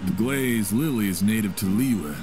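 An actor voicing a skeptical, puzzled man in a game, questioning in a deliberate, incredulous way. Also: on the low side, animated, close to an everyday voice. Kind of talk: scripted game dialogue. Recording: music behind the voice.

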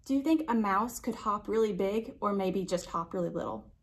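A young adult woman reading a children's picture book aloud with expressive, drawn-out emphasis, pausing to put questions to young listeners. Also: medium pitch. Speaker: library storytime reader.

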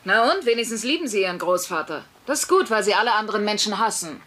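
A woman's voice: medium pitch, sing-song, quick pace, a bit bright. An actress playing a blunt, wisecracking middle-aged mother in a German-dubbed sitcom, sardonic and bossy. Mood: scolding, sardonic. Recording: noisy TV sitcom soundtrack.

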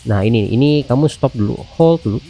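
A man's voice: mid-range voice, expressive, fairly quick, vocal fry, mellow, faint music behind. A man in his thirties with an Indonesian accent, giving chatty, informal gameplay commentary with some English radio-style phrases. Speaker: gaming video host. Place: indoors at a computer, music behind.

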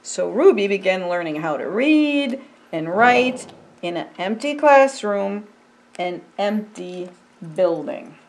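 An older woman, a pastor, reading a children's picture book aloud in a deliberate, expressive storytelling manner. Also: mid-range voice. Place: indoors by a fireplace.

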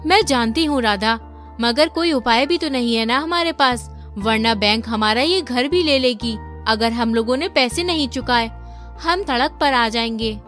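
Dutiful young cartoon heroine, voiced by a woman: high, earnest, pleading. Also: measured pace, fairly bright tone, faint music behind. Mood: worried, firm.

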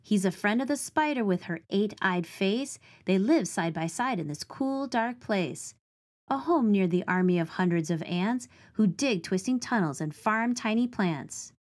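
A woman reading a picture book of rhymes and nature facts aloud to children, with expressive, clearly phrased delivery. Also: mid-range voice, measured pace.

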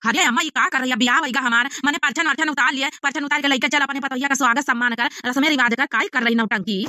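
A woman's voice: high voice, steady tone, racing, bright, forceful tone, dry recording, clean studio sound. A woman voicing an exasperated young village bridegroom in a comedy cartoon, arguing and pleading with an Awadhi accent. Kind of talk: comedy cartoon voice acting in dialect.

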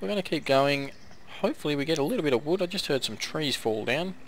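A fairly high-voiced man talks through his game in a relaxed, chatty way, urging his little villagers on. His voice is hoarse and tired from a long day of recording. A faint hiss sits under the voice. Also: fast talker. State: hoarse, coughing, losing his voice. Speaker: gameplay commentator. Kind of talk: casual let's-play commentary.

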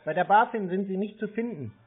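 A man speaks through a narrow, tinny phone-like channel. His voice sits a little high. He sounds doubtful and keeps pressing his point in conversation. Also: brisk, husky. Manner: sceptical, insistent. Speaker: scam baiter taking a call.

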